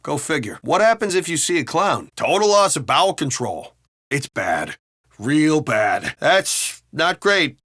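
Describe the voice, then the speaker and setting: An actor playing a foul-mouthed, sardonic and excitable American city cop in a video game. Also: male voice, a little high, unhurried, no room sound, clean studio sound, full character voice. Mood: joking banter. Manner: animated, sardonic, profane cop banter. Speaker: video game police officer. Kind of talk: game voice lines, banter and barks.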